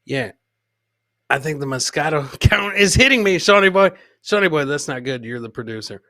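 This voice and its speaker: Male podcast host, high bright voice, casual comedy commentary, repeats catchphrases, chats to producer and viewers, dry close sound. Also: lively intonation, normal speed, no room sound. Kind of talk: casual live comedy commentary on video clips.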